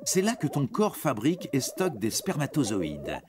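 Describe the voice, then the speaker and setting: A man's voice: mid-range voice, huge pitch swings, normal speed, background noise. A man narrating an educational cartoon in a playful storytelling way, speaking directly to a young listener. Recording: music under the voice. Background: background music.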